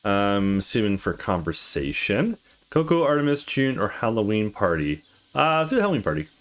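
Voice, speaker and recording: A man's voice: on the low side, big pitch swings, normal speed. A man giving casual let's play commentary on a game and reading its dialogue aloud.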